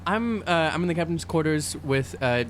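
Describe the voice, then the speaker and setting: A man's voice: expressive, moderate pace. A man playing a tabletop role-playing game, talking casually with playful, teasing banter. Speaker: player on an actual play podcast. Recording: clear background noise, low hum.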